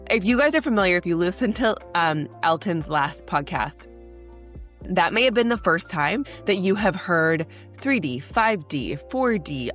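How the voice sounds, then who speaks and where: A young woman hosting a spiritual podcast, talking in an earnest, chatty way, congested from a cold. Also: lowish voice. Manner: earnest, chatty, says "like" often. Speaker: podcast host. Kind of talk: casual spiritual podcast monologue.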